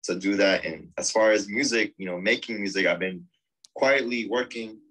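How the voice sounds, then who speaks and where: Deep-voiced man chatting on a video call. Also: moderate pace, pushed and bright, rough recording. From United States. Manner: enthusiastic, rambling with many fillers. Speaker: techno DJ and producer. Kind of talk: casual video call interview. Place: small home studio with red light. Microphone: laptop or webcam on video call.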